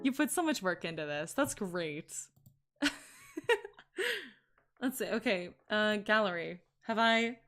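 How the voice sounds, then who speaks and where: A woman streamer reading a visual novel aloud and commenting on it, excitable and gushing, often giggling. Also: medium pitch, takes it slowly, long pauses. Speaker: VTuber streamer.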